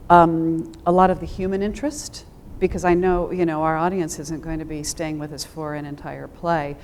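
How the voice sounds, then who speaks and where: A woman speaking thoughtfully on a panel as a theater director, reflective and halting, often pausing mid-phrase. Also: lowish voice, darkish tone. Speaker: theater director and Shakespeare adapter on a discussion panel.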